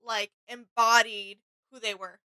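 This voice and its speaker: Woman co-host chatting casually about what they watched, high bright voice, dry sound. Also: level tone, measured pace, smooth, no echo, poor audio. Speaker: reaction video co-host. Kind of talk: casual chat, reaction commentary.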